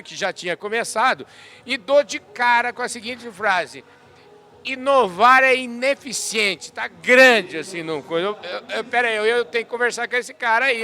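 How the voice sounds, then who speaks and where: A man's voice: very high-pitched, bright, forceful tone. A man hosting an interview show, covering a convention with enthusiastic, rambling questions and frequent repetitions. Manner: enthusiastic, rambling, repeats himself. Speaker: podcast host. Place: outdoors at a convention.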